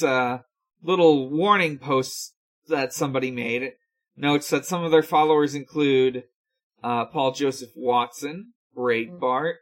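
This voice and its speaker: A podcast co-host casually relaying news in a hesitant, halting way. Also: medium pitch, fairly bright tone.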